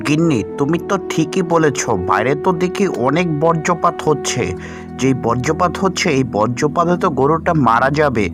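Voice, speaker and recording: A man's voice: on the high side, normal speed, over music, natural voice. A man voicing an earnest poor village farmer and devoted husband in a children's cartoon. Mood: alarmed, despairing.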